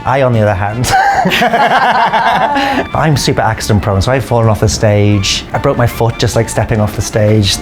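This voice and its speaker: A man's voice: mid-range voice, huge pitch swings, unhurried. A man from Wales, chatting in a casual, self-deprecating way in a documentary interview. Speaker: Irish dancer in a comedy circus show. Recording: music behind the voice. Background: music.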